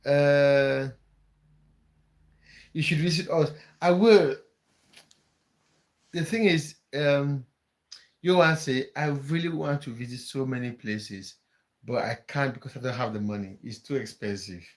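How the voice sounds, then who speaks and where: A man's voice: medium pitch, unhurried, pauses often. A man from Cameroon, hosting a casual live stream, chatting with viewers and often repeating words as he thinks aloud. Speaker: livestream host.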